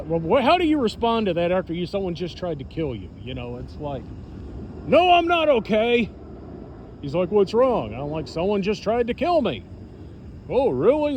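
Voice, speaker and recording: A man's voice: high-pitched, slight background noise. An American man telling a personal story casually to followers, in a blunt, sarcastic, plain-spoken manner. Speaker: storyteller recounting own experience to followers.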